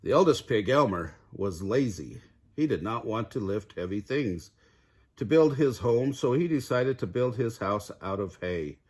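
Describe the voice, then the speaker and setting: A man narrating a children's fairy tale aloud in an expressive storytelling style. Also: fairly low pitch, measured pace, breathy edge. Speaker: storybook reader.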